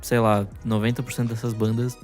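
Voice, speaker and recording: A man's voice: medium pitch, little pitch movement, fairly quick, a little mellow. A man in his late twenties talking in a laid-back, reflective way on a casual music podcast. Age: late 20s. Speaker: podcast co-host. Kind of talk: casual chat.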